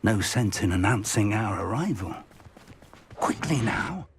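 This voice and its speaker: An actor playing a cautious older wizard mentor in a video game, measured and quietly authoritative. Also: male voice, on the low side, lively intonation, noisy background. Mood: cautious, hushed. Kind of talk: scripted video game dialogue.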